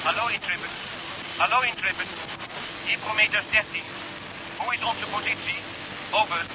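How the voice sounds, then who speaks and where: Actor as high-voiced crewman on radio. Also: normal speed, lightly acted. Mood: businesslike, wry. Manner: clipped radio-procedure talk. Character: businesslike male crewman on radio, questioning orders. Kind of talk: 1970s science-fiction radio play acting. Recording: dull old radio recording, vehicle noise.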